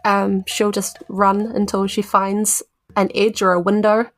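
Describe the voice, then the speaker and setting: A woman's voice: medium pitch, flat delivery, measured pace, soft background music. A woman with a New Zealand accent chatting casually as a player in a tabletop game.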